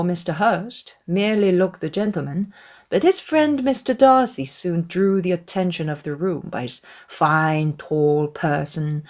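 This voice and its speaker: Woman narrating a classic novel, slightly low-pitched. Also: a little mellow. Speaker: audiobook narrator. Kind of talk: dramatized literary audiobook narration.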